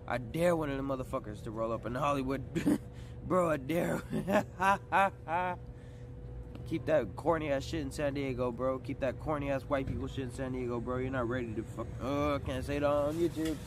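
A young man rants on a livestream with an aggrieved, combative edge and lots of swearing. His voice sits at a middle pitch. Clear street noise runs behind him as he talks into a handheld phone outdoors. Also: moderate pace. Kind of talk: ranting livestream talk. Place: outdoors on a city street at night. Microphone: phone at arm's length. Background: street and vehicle noise.

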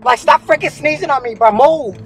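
High-voiced young man scolding in a car. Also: fairly quick, fairly bright tone, faint music behind. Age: young adult. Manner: irritated, scolding, repeats "bro". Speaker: vlogger, prank target. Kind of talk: casual argument in a car. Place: inside a parked car.

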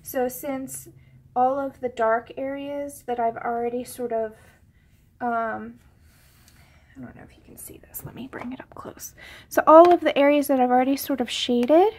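A woman's voice: high voice, a little mellow. A woman with a head cold, sounding a bit sniffly, chatting casually through a drawing tutorial, rambling with many ums and sometimes dropping to a whisper. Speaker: coloring tutorial host.

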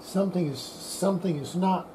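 An older man giving an unscripted hands-on repair demonstration, halting and muttering to himself as he works at a bench. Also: sits high, noisy background. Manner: halting, muttering to self while working. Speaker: radio repair hobbyist, presenter. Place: indoor workbench.

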